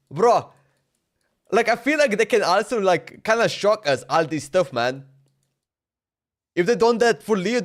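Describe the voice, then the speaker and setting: A man streaming a game, chatting loosely and laughing, often saying "bro" and "man.". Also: fairly high pitch. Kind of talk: casual livestream chat while playing.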